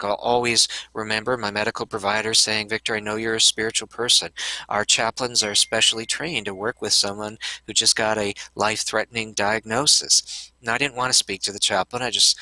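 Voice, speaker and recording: A man giving an earnest conference talk, often pausing on hesitant fillers. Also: lowish voice, steady tone, pushed and bright, no room sound, low-quality recording. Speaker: conference speaker.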